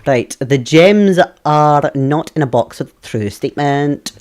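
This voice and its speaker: A man's voice: fairly high pitch, animated, a little slow, a little mellow. A young Scottish man streaming a puzzle game, chatting with viewers, thinking aloud and laughing often. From Scotland. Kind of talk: live game stream chat.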